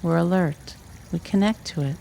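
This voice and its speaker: A meditation teacher giving guided instruction in measured, short phrases with pauses between them. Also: mid-range voice, gravelly, warm tone, slight background noise. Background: trickling water ambience.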